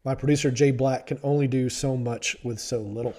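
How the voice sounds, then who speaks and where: A man's voice: mid-range voice, fairly even intonation, brisk, mellow. A man hosting a sports podcast, sounding a little stuffy from allergies, talking in a wry, self-deprecating way. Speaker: podcast host and sports reporter. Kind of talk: podcast commentary.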